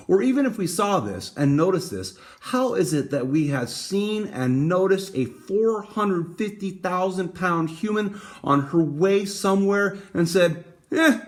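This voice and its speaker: A man reading a picture book aloud, with dramatic emphasis and deliberate pauses. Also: high voice. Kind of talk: expressive storybook read-aloud.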